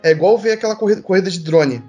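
A man's voice: high voice, rapid-fire, dark tone, lo-fi sound, faint music behind. A man with a Brazilian accent speaking casually in a panel discussion, thinking aloud in a discursive way and explaining through everyday examples. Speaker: panel participant.

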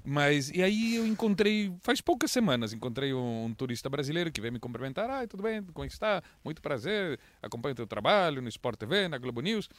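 A Brazilian man, an international correspondent, who speaks with a mixed accent and tells stories with lively swings in pitch. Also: dry recording. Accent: mixed Brazilian Portuguese. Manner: animated storyteller, lively pitch jumps. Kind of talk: casual interview chat.

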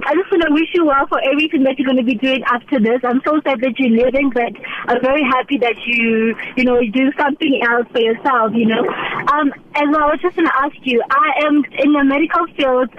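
A woman's voice: high-pitched, a little hiss or hum. A woman in her twenties calling into a radio show, earnest and a little hesitant. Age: 20s. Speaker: caller. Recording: dull phone-line call.

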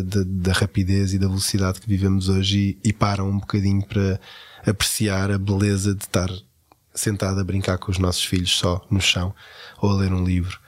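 A man with a European Portuguese accent talking reflectively in an interview, often searching for his words. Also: low voice. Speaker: actor interviewed about parenting.

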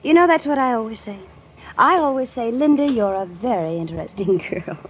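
Woman playing a lively, coy young socialite. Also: a little high, fairly quick, close to an everyday voice. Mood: coy, playful. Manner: playful, coy, breezy. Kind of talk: 1940s radio acting. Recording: muffled, noisy old radio recording.

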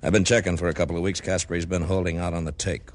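Man as racketeer boss, American, deep voice, gruff, guarded, defensive, old radio crime drama. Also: flat delivery, racing, lightly acted. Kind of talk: old-time American radio detective acting.

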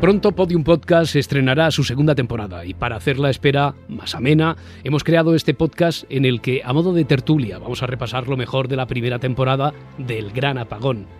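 A man's voice: mid-range voice, a little fast. A man with a Castilian Spanish accent hosting a podcast in an articulate, scripted radio-presenter style. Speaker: podcast host. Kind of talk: scripted presenting of a discussion show. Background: faint music bed.

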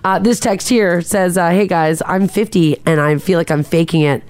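A woman's voice: lowish voice, normal speed, a little mellow, smooth. A woman co-hosting a podcast, reading listener texts aloud in easygoing chat. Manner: easygoing, reads messages aloud. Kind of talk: casual chat.

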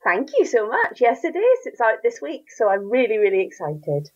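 A woman's voice: on the high side, huge pitch swings, normal speed, dull audio. Enthusiastic Northern Irish woman author. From Northern Ireland. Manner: enthusiastic, warm storytelling with laughs. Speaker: children's author. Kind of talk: interview answers.

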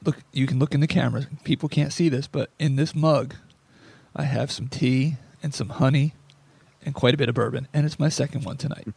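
A man hosting a sports podcast who has lost most of his voice and sounds hoarse, talking in a rambling, self-deprecating way. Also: mid-range voice, fairly even intonation, fairly quick, a little mellow, no echo. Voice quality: gravelly. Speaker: podcast host. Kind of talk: casual sports podcast chat.

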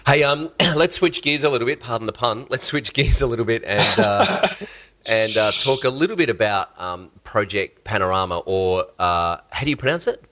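A man with an Australian accent hosting a casual interview, informal and halting as he rephrases his questions. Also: medium pitch, expressive, bright, forceful tone. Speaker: interviewer, host.

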